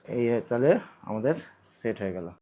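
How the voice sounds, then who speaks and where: A man's voice: medium pitch, lively intonation, moderate pace, warm tone. A man giving a casual hands-on tutorial, explaining step by step and often repeating his phrases. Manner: instructive, repeats phrases while demonstrating. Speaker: vlogger demonstrating product installation.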